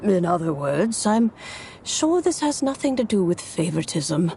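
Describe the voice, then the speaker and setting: A wise, gently amused immortal in a video game. Her voice is lowish with a clear creak, poised and composed. Some rumbly noise sits behind it. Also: very animated, normal speed. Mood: wry, reassuring. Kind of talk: video game character acting.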